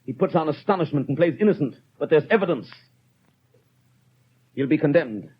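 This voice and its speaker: A man's voice: fairly high pitch, expressive, moderate pace, slightly rough. A man playing a stern, rigid police inspector in 1930s radio drama style, with a clipped, formal, unbending manner. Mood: certain, matter-of-fact. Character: stern, rigid, self-punishing male French police inspector. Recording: dull old 1930s radio recording.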